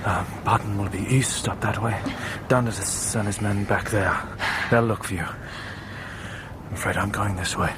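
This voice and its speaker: An actor playing a stubborn German pilot in a radio war drama, understated and quietly resolute. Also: male voice, lowish voice, fairly even intonation, unhurried, natural voice. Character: dry, stubborn German pilot searching for his father. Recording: loud outdoor ambience noise.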